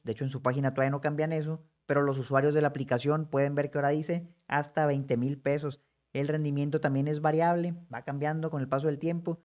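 A man, explaining savings options in a lively, conversational tutorial that speaks straight to the viewer. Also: mid-range voice. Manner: brisk, conversational, addresses viewer directly. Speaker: personal finance educator. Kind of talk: explanatory screen-share tutorial.

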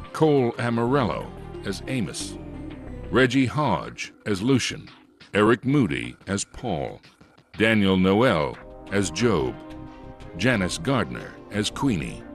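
A male announcer reads drama credits at an unhurried, slow pace. His low voice moves in lively swings of pitch over clear music. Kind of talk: scripted credit reading.

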